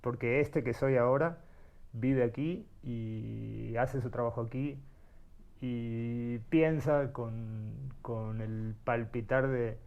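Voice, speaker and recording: A man's voice: mid-range voice, fairly even intonation, slow pace, creaky, highs cut off. A middle-aged man born in Uruguay, telling his life story as an interview guest, thoughtful and searching for words. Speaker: guest, artist.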